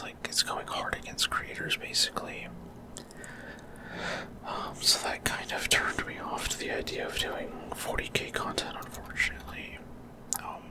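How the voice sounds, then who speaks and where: A man speaks entirely in a soft, breathy whisper, as a gaming creator making ASMR. His delivery is casual and rambling, with a hesitant flow as he comments on his play. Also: very high voice.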